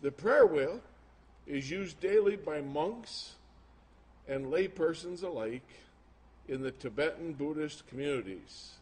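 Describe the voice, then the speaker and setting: A man's voice: on the high side, expressive, slow, a little mellow, husky. A man preaching informally to a congregation, colloquial and emphatic with incredulous asides, recorded live. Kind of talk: informal sermon with show-and-tell to a congregation. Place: live talk before an audience. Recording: noisy live recording with room ambience.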